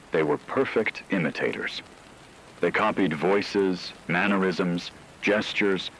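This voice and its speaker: A man reading scripted apocalyptic horror narration in a measured, solemn, ominous way. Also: deep voice, fairly bright tone, a little hiss or hum, rough recording.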